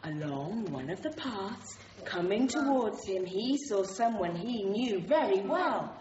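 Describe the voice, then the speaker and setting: An actress playing a gentle infant teacher who reads a storybook aloud to small children, expressively, in a radio drama. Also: female voice, mid-range voice, expressive, slow pace. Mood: playful, gentle. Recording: roomy echo, dull, noisy.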